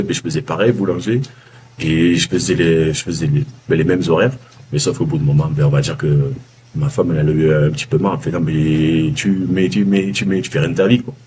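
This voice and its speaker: A man with a Southern French accent, a former baker giving a casual testimonial, halting with many fillers and restarts. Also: low-pitched, a little mellow, a little hiss or hum. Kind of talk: casual video-call testimonial. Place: calling from abroad, early morning.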